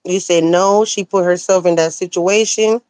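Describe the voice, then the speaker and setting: A woman livestreaming casual commentary, animated and sassy, answering viewer comments, her voice a little hoarse. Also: fairly low pitch, moderate pace, no echo. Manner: animated, sassy, talks back to chat comments. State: slightly hoarse. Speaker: livestreamer reading chat.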